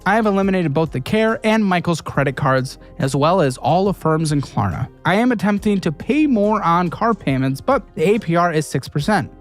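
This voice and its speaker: A man with a high, lively voice reads a written update aloud, earnest and steady. Faint music runs underneath. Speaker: guest reading an update. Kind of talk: reading aloud.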